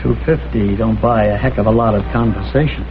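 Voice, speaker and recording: An older man with an American accent, playing a crusty, proud, wry old hotel owner in a vintage crime drama, speaking in a blunt, folksy, deadpan way. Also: fairly low pitch, fairly quick, music in the background, natural voice. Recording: tinny vintage broadcast, faint noise.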